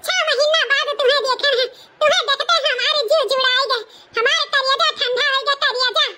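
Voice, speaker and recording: A woman voicing a doting but bossy village mother-in-law in a comedy cartoon, effusive, with a Bhojpuri accent and a pitched-up voice. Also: fairly even intonation, a little fast, pushed and bright. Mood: overjoyed.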